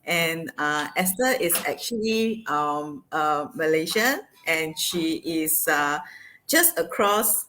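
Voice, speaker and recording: Casting: singaporean woman host, somewhat low voice, animated and chatty, many fillers. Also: slow, slight background noise. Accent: Singaporean English. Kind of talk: casual interview chat. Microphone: video call.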